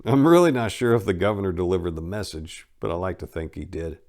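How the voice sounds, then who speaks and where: A man's voice: sits low, lively intonation, fast, darkish tone. A man giving a dramatic reading in a conversational, storytelling way with emphasis on key words. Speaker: host narrating a dramatic book reading. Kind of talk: dramatic first-person audiobook reading.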